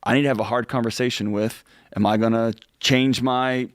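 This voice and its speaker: A man's voice: medium pitch, fairly even intonation, normal speed, dry recording, studio quality. A man in his forties co-hosting a radio talk show, talking earnestly and emphatically in casual chat. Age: 40s. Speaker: co-host.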